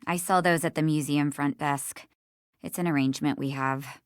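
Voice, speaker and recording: Woman playing busy helpful lady in a video game, caring, kinda low voice, dry close recording. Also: steady tone, moderate pace, dry recording, lightly acted. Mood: apologetic, helpful.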